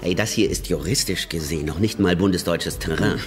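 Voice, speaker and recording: An actor playing a cocky, sardonic drug dealer in a radio drama, talking in a glib, colloquial way. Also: male voice, low voice, a little fast, natural voice. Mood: cocky, dry. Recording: studio radio play with background music.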